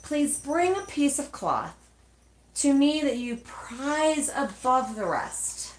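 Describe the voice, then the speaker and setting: A woman reading a children's storybook aloud expressively, with measured, dramatic phrasing and pauses. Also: high voice, animated. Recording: echoey, roomy sound.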